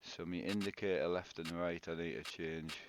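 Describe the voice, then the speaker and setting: A man's voice: low voice, fairly even intonation, moderate pace. A man with a cold, sounding tired, chatting casually to viewers on a live game stream and greeting them by name. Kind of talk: live-stream gameplay chat. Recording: loud background noise.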